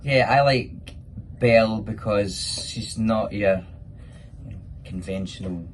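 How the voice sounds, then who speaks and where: Casting: Scottish male actor, deep voice, casual and enthusiastic Q&A chat, noticeable background noise. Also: slow pace, rough recording. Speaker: pantomime actor. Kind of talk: casual spoken question-and-answer for children.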